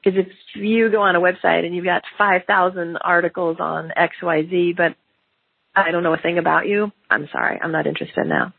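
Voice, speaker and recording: A middle-aged woman with a slight cold and a gravelly voice hosts a solo business podcast, talking in an animated way and acting out quotes in lively voices. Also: on the low side, fairly quick, dry recording. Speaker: podcast host. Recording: phone-like narrow band, dry.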